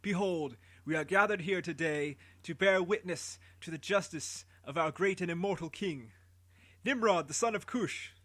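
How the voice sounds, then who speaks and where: A man voicing a royal herald with a staff, proclaiming slowly and grandly to a crowd. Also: high voice, fairly bright tone, no echo. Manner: slow, proclaiming.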